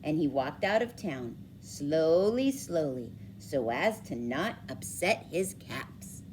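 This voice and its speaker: A woman reading a children's story aloud expressively, with dramatic emphasis and drawn-out words. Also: low voice, sing-song, a little mellow, slight background noise, rough recording. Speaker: storybook reader for schoolchildren.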